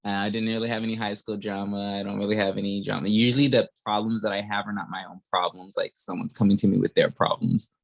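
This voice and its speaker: Low-voiced man in his thirties hosting. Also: fast, vocal fry, hardly any pauses. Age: 30s. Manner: relaxed, chatty, laughs easily. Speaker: podcast host. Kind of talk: casual podcast interview.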